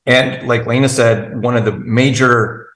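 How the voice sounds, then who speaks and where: A man's voice: medium pitch, fairly even intonation, a little slow, a bit bright, roomy sound, low-quality recording. A man as an interview guest, explaining at length in an earnest, methodical way with frequent restarts. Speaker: guest expert.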